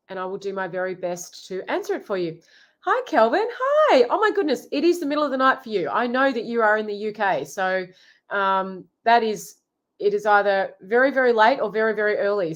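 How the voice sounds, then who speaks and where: A middle-aged woman relationship coach hosting a live Q&A, chatty and full of ums and restarts, with a head cold. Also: medium pitch. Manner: chatty, warm greetings, frequent ums and restarts. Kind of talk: live Q&A chat.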